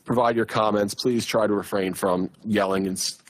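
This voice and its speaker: A man, a borough official, giving a plain, conversational report at a council meeting, with frequent fillers and a loud voice. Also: on the low side, steady tone, normal speed.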